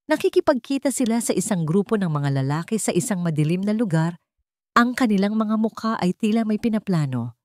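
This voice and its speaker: A woman narrates a dramatic story in a fairly low voice. Her pitch moves widely from phrase to phrase, and the recording is close and dry. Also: darkish tone, no room sound.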